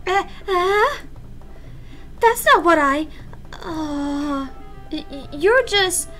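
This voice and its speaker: A woman voicing a prim, shy high-school girl poet in a visual novel, formal in speech and stammering when flustered. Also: expressive, very slow, bright, forceful tone, faint music behind, heavily acted. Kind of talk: visual novel voice-over read aloud.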